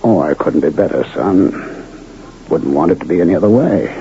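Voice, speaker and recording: Casting: wry, polite Western gentleman doctor, lively intonation; faintly noisy vintage radio recording. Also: deep voice, muffled recording. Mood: weary, tender. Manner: polite, measured, sardonic. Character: wry, courtly Western doctor and card player. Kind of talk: old-time radio drama acting.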